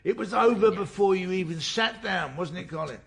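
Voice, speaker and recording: Radio play cop, sarcastic and pressing, husky voice that swoops around a lot. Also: male voice, high-pitched, lively intonation, brisk, fairly bright tone, full character voice. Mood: needling, pressing. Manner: ironic, teasing, pressing. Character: wry male police detective, needling and theatrical in questioning. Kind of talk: radio drama acting.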